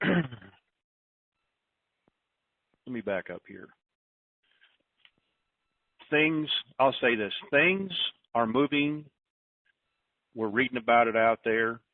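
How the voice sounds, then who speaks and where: A man's voice: mid-range voice, animated, drawn-out words, long pauses. A man hosting an informal group call in a folksy, disorganized way, rambling through announcements and thinking aloud. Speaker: conference call host. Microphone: telephone. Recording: roomy, tinny phone conference line.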